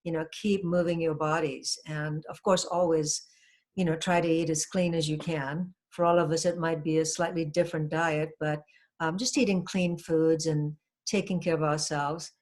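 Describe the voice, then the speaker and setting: Older woman, from Trinidad, lowish voice, earnest, reflective, hypnotherapist guest, casual interview. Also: little pitch movement, moderate pace, darkish tone. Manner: earnest, reflective, with frequent "you know" and "um".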